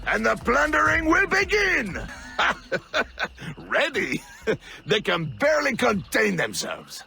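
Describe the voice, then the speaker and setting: Rowdy plunder-hungry smuggler: high, wildly swooping, gravelly, exuberant game character. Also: male voice, huge pitch swings, slow, pushed and bright, soft background music, exaggerated voice. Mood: gleeful, rowdy. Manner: exuberant, bellowing. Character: boisterous, greedy, gleeful male smuggler ally, plunder-hungry. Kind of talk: theatrical video game cutscene acting.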